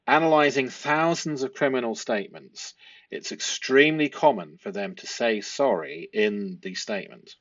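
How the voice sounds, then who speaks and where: Man, lowish voice, deliberate analytical explainer, rhetorical questions, dry close sound, slightly muffled lo-fi. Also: dry recording. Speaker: host and statement analyst. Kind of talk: explanatory analysis of a transcript, part read aloud.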